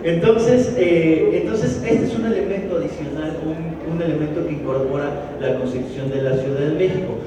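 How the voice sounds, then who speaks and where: A man's voice: a little high, normal speed, few pauses, noisy background. A man with a Mexico City accent giving a conversational public lecture, with joking asides and frequent restarts. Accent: Mexico City Spanish. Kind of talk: public lecture to a live audience. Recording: echoey hall from far off, dull. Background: audience in a large hall.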